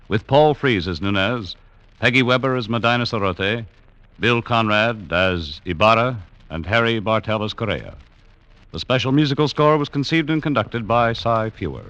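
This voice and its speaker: A man's voice: medium pitch, fairly bright tone, dry recording, low-quality recording. Male network announcer, 1940s radio style: formal, polished, middle register.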